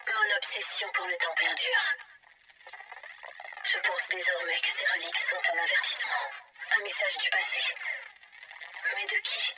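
A melancholic female machine guardian from a video game audio log. Her synthetic voice sits extremely high and swings widely in pitch as she muses in a measured, brooding way. Clear noise runs under the radio-like recording. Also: wildly swooping pitch, sharp tone, full character voice, low-quality recording. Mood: pensive, doubting. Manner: measured, brooding, philosophical, questioning. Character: melancholic, pensive female AI guardian of an ancient alien installation. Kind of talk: scripted dramatic game monologue.

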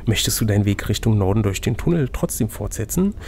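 A man's voice: fairly low pitch, animated, fast talker, a little mellow. A man narrating an adventure gamebook as game master, switching between scripted reading and casual chat.

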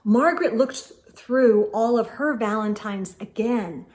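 A middle-aged woman reads a picture book aloud for children, expressive and carefully phrased, as a storytime host. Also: a little high. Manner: deliberate, clearly phrased delivery aimed at young children. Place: indoor room with bookshelves.

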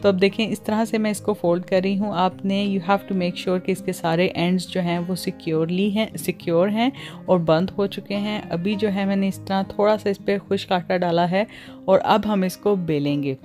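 A woman's voice: medium pitch, steady tone, normal speed, darkish tone, faint music behind. A woman with a Pakistani accent hosts a cooking tutorial in voice-over, mixing English words and phrases into her talk. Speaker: cooking channel host.

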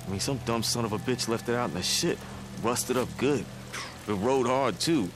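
An actor playing a chatty, evasive camp mechanic in a video game, rambling in a folksy way. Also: male voice, mid-range voice, moderate pace, over music, natural voice. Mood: evasive, dismissive. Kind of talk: naturalistic cinematic game acting.

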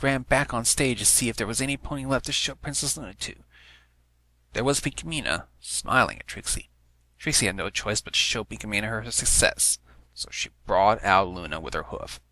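A man's voice: mid-range voice, animated, normal speed, sharp tone, no echo, low-quality recording. A man narrating a fan fiction story, reading aloud from a script.

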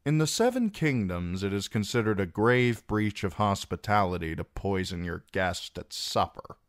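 A man's voice: lowish voice, lively intonation, moderate pace, no room sound, close to an everyday voice. A male narrator voicing a bitter, sardonic dwarf lord who speaks in a dry, wry, curt way. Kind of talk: audiobook character reading.

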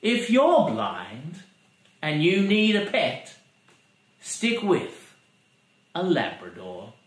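A man's voice: fairly high pitch, huge pitch swings, very slow. A man in his thirties giving a dramatic, mock-solemn comic poetry reading. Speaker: poetry reader. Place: living room by a fireplace. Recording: roomy camera sound.

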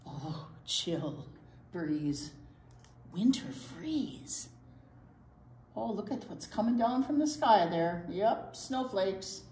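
A woman's voice: medium pitch, animated, very slow, mellow. An older woman reading a picture book aloud to young children, with conversational asides between the lines. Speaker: children's storytime co-host reader. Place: indoor library storytime room. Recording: roomy sound with some background noise.

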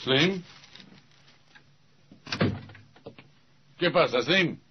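A man's voice: fairly high pitch, animated, measured pace. An actor playing a gangster looking after his partner in a radio drama. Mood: concerned. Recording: dull, faintly noisy old radio recording.

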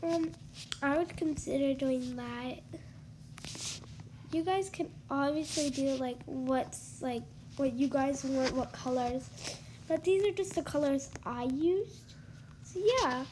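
A young woman talks through her game build in a high, stuffy voice, congested from allergies. She takes her time, trailing off with ums and likes. Steady noise hums under her voice. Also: slow pace. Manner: chatty, hesitant, lots of ums and likes. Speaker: gaming YouTuber. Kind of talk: casual game-build tour voice-over.

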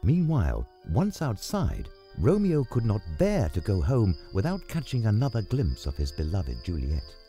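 A man reads scripted storybook narration. The tone is mellow and the pitch moves expressively, with music playing softly behind. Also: wildly swooping pitch, normal speed, hardly any pauses.

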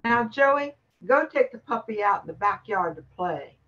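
A woman's voice: mid-range voice, expressive, moderate pace, bright, forceful tone. A woman playing a caring, dutiful grown daughter and mother in an amateur radio play, on a dull recording.

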